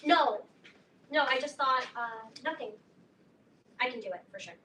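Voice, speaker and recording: A woman's voice: sits high, expressive, normal speed, pushed and bright. A young woman in a staged reading, playing a shy, eager teenage newcomer who speaks hesitantly and awkwardly. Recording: echoey stage, distant mic, noise.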